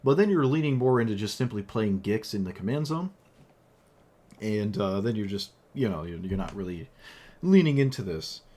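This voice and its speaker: A man's voice: fairly low pitch, expressive, moderate pace, dark tone. A man who is losing his voice after a long stream, talking casually about a card game deck in a rambling way with lots of fillers and restarts. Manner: rambling, with frequent fillers and self-corrections. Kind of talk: casual gameplay commentary.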